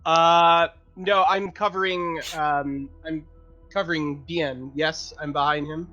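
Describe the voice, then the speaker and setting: A man's voice: a little high, fairly even intonation, slow, bright, forceful tone, soft background music. A man playing a tabletop roleplaying game, casually voicing what his character says and does. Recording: dull, lo-fi voice audio.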